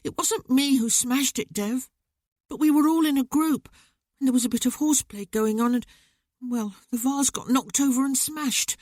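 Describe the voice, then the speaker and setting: A narrator putting on an impulsive teenage boy from a storybook, his voice pitched up high and pleading in outbursts. He sounds hot-tempered and quick to protest, close and dry on the mic. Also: a little mellow, dry recording. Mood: sheepish. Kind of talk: audiobook character voice.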